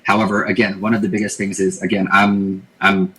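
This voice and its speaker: Male podcast guest from Taiwan, lower voice, thoughtful, explanatory. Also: little pitch movement, a little fast, bright, forceful tone, low-quality recording. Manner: thoughtful, explanatory, repeats words while thinking. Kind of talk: casual interview talk.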